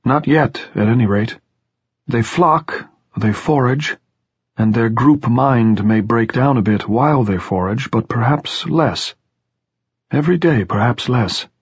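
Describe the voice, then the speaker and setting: A man voicing an elderly headmaster who is courtly, grave and resolute, with formal, pedantic, measured diction in an audiobook. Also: medium pitch, breathy edge, no echo, highs cut off, pitched above the performer's own voice. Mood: dreamy, musing.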